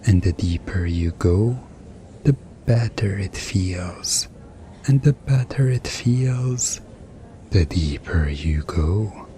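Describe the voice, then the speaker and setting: A man performing a hypnosis ASMR roleplay as a hypnotist, speaking in a soothing, deliberate, hypnotic way with pauses. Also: deep voice, lively intonation, dark tone, slight background noise.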